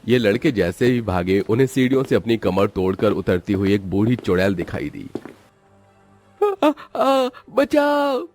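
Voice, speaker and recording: A man's voice: fairly low pitch, brisk, few pauses, a little mellow, soft background music. A man narrating a scripted story in a dramatic storytelling style.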